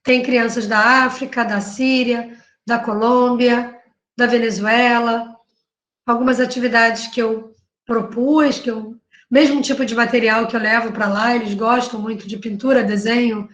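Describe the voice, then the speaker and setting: A woman's voice: a little high, steady tone, unhurried, fairly bright tone. A Brazilian woman who is a university professor and researcher, giving a conversational academic panel talk with frequent tag questions and self-corrections. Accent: Brazilian Portuguese. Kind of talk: academic panel talk presenting her work. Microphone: video call. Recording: echoey room.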